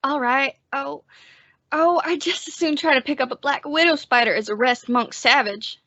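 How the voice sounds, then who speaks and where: A meek young deputy, played by a young woman, talks hesitantly in a high voice. He stammers through a western radio play with slightly dull sound. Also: moderate pace, pushed and bright. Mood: scared. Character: timid, soft-spoken young male reluctant deputy. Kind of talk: old-time western radio drama acting.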